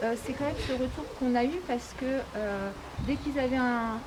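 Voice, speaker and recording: A woman with a fairly high voice gives a spontaneous, informal explanation as a member of school staff. She sounds practical, and the recording has clear background noise. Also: moderate pace. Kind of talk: spontaneous explanation in group interview.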